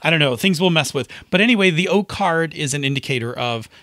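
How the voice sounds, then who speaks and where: A man's voice: fairly high pitch, animated, fast, a bit bright, no echo, studio quality. A man co-hosting a podcast, chatting casually and emphatically as he gives advice, often checking in with the listener. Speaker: podcast co-host. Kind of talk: casual chat about tabletop game advice.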